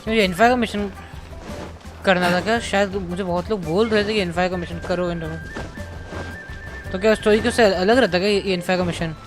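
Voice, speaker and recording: Very fast-talking Indian game streamer. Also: mid-range voice, fairly even intonation, music in the background, low-quality recording. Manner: muttering to self, questioning, mixes Hindi and English. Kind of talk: casual live game commentary. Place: live game stream with game music behind.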